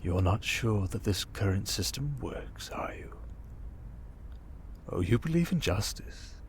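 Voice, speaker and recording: A man playing a smug, manipulative criminal mastermind in an ASMR roleplay, calm and condescending, coaxing with endearments and soft breathy murmurs. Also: lowish voice, unhurried. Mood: teasing, probing. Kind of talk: ASMR roleplay monologue, dramatic.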